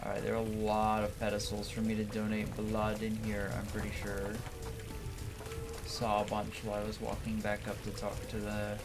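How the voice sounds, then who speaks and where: A man's voice: lowish voice, completely flat delivery, normal speed. A man doing gameplay commentary, musing aloud to himself and reading game text out. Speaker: gamer doing a playthrough. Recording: music behind the voice. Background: game music.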